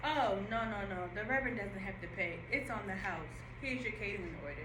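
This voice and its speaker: A woman playing a brash, chatty young cafe worker in an audio play read-through, animated, slangy and bustling. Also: mid-range voice, brisk, close to an everyday voice. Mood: brisk, friendly. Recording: echoey room with background noise.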